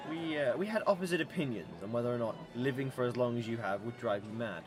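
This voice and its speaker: Man acting a quick-spoken, mysterious immortal stranger. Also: mid-range voice, animated, noisy background, close to an everyday voice. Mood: grave, polite. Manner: formal, measured wording, aloof. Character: mysterious ageless immortal stranger, proud, coolly probing. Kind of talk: radio drama acting.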